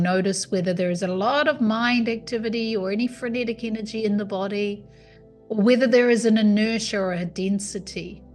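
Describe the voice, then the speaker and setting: A woman guides a meditation in a soothing, unhurried manner. Her voice sits at a medium pitch and she gives each instruction calmly. Soft music plays faintly behind her. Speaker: yoga nidra meditation guide.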